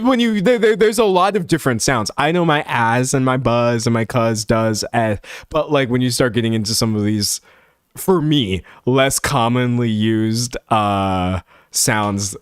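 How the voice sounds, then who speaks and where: A man's voice: mid-range voice, dry recording, studio quality. Laughing, self-deprecating Texan streamer man. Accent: Texan American. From San Antonio, Texas. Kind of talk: casual livestream chat reading comments.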